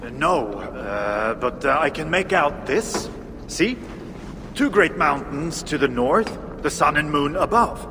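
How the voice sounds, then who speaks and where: An actor playing a humble, nervous dwarf miner in a video game, hesitant, stammering and deferential. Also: male voice, a little high, lively intonation, slow. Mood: apologetic. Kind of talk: dramatic game voice acting. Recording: reverberant cavern, noisy ambience.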